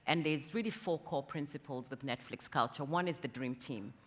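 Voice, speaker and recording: A woman's voice: a bass voice, normal speed, fairly bright tone. A woman from Kenya speaking conversationally as an interviewee on a panel. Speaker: interviewee, company talent executive. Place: conference stage in a large hall. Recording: reverberant hall, stage event.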